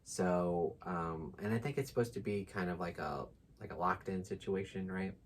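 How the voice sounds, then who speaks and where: A man's voice: sits low, normal speed, slight background noise. A man co-hosting a book channel in casual, unscripted chat, easygoing and joking with frequent asides.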